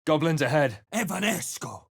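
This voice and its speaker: A man voicing a forceful adventurer spellcaster in a game, urgent and theatrical, barking out incantations and warnings. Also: on the high side, very animated, moderate pace, creaky, breathy edge, no room sound, studio quality, exaggerated voice. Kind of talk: shouted game combat barks and Latin-like spells.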